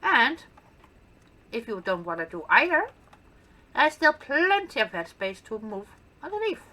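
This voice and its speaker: A woman's voice: a little high, sing-song, measured pace, bright, forceful tone. A woman with a Danish accent, a native Danish speaker, chatting casually and playfully as she shows off her build in gameplay commentary. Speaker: gaming channel host.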